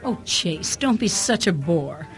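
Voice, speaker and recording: A woman playing a poised, elegant young society wife in a radio drama, gently reproving. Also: on the low side, animated, slow pace, faint music behind, rough recording. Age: young adult.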